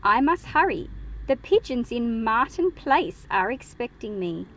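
Gentle old pigeon lady, read by a woman, very lilting. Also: a little high, big pitch swings, measured pace, a little hiss or hum, poor audio. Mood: hurried.

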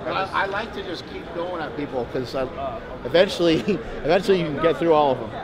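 Male vlog host, high voice, casual banter and announcing, camera audio in a noisy crowd. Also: brisk. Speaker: vlog host and booth presenter. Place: busy convention hall and city street.

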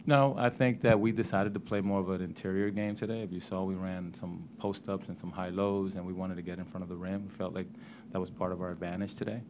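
A middle-aged man, a head basketball coach, answering postgame questions at a press conference table microphone, measured and restarting words as he thinks. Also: low voice, fairly even intonation, noisy background. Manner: measured, repeats words when starting sentences. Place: press room.

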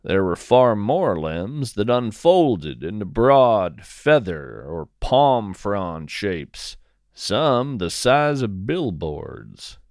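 A man narrating scripted first-person fiction as a podcast host, with deliberate, descriptive, measured phrasing. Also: on the low side, big pitch swings, darkish tone, dry recording, studio quality.

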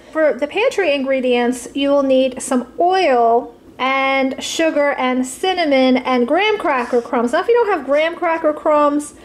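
A woman hosting a budget cooking video, calmly explaining each step from her home kitchen with a few casual fillers. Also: high-pitched. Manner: friendly step-by-step instructions with fillers. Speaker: cooking channel host.

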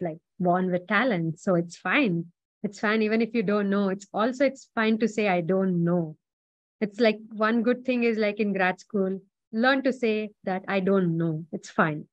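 A woman's voice: mid-range voice, no room sound, muffled recording. A graduate student woman on an advice panel, talking casually and encouragingly with many filler words.

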